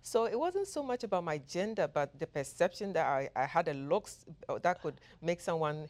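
A woman from Ghana, a professor, giving measured, thoughtful answers as an interview guest. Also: on the low side, expressive, darkish tone. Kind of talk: interview conversation.

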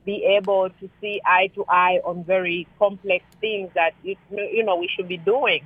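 A woman's voice: mid-range voice, steady tone, moderate pace. A woman calling in to a radio show over a phone line, explaining conversationally with examples. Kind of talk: conversational unscripted commentary. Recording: narrow tinny phone line.

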